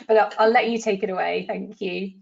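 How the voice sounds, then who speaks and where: A woman's voice: mid-range voice, vocal fry. A woman hosting a webinar, thanking and introducing speakers in an encouraging way over a video call. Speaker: webinar host. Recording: muffled video-call audio.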